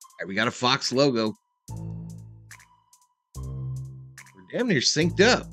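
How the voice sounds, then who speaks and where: A man's voice: medium pitch, huge pitch swings, slow pace, fairly bright tone, soft background music. Folksy man streaming casual game commentary. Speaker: live stream host calling the game. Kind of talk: casual fan play-by-play and chat.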